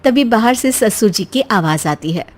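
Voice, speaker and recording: A woman with a very high voice narrates a scripted story. She tells it as a storybook narrator would. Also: animated, moderate pace.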